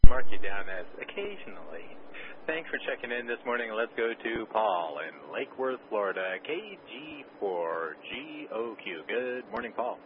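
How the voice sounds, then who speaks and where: A man's voice: medium pitch, a little slow. A man runs a ham radio net roll call, moving steadily from one caller to the next and dropping dry one-liners along the way. His voice comes through dull and muffled, with a faint hiss under it. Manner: steady, matter-of-fact call-taking with dry quips.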